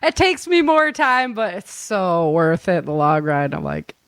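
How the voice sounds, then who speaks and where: A woman's voice: fairly low pitch, very animated, a little slow, dry recording. Chatty woman hosting, close dry sound. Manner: chatty, laughs often, acts out little quotes. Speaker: audio drama producer and show host. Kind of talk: casual chat.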